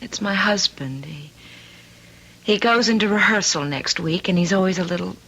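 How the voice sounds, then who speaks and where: An actress playing a worried, wistful wife in a radio drama, gentle, earnest and a little hesitant. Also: female voice, mid-range voice, normal speed, breathy edge, dull audio. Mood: guarded, wistful. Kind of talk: old-time radio drama acting.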